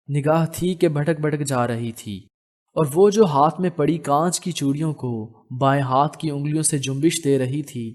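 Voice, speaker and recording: A man narrating a scripted romance novel as an audiobook, in a measured, literary storytelling manner. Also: on the high side, darkish tone.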